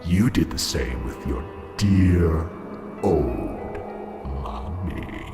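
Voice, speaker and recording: A man's voice: very deep voice, animated, extremely slow, full character voice. A man voicing a sinister male tormentor in a nightmare, mocking and gloating, slipping into hissed whispers, in a student radio drama. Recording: echoey with loud music behind.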